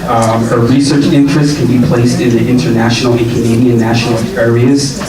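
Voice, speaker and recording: Flat-toned man in a very echoey room. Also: mid-range voice, a little fast, crowd noise. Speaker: event host introducing a speaker. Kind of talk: halting speaker introduction. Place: conference venue. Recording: very echoey, distant mic, loud music.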